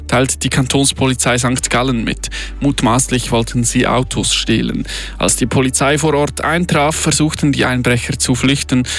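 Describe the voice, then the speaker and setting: A man reading scripted radio news in the studio. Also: medium pitch. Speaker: radio newsreader. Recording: studio news over music bed. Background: music bed.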